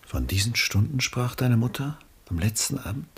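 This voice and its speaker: An actor playing a reserved, world-weary, melancholy middle-aged artist in a 1960s German radio play, measured and guarded. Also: male voice, medium pitch, expressive, vocal fry, slightly rough, full character voice. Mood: hesitant, melancholy.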